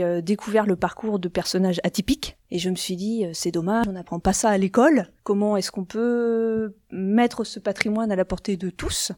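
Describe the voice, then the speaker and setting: Brief: middle-aged woman from Brittany, hesitant podcast guest, mellow tone, dry close sound. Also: medium pitch, dry recording. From central Brittany, France. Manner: hesitant, many fillers and restarts. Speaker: game creator, guest. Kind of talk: casual interview chat.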